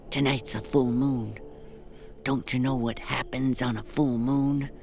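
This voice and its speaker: A woman's voice: rumbling low, exaggerated voice. An actor playing a frightened, superstitious old woman in an audio drama, pleading and ominous. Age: older.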